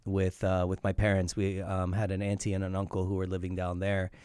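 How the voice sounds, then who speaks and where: A man in his forties from Iran and upstate New York, telling his story conversationally as a radio guest, reflective and pausing to choose his words. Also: low voice, completely flat delivery, a little mellow, smooth, dry recording, clean studio sound. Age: 40s. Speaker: interview guest, hip hop artist.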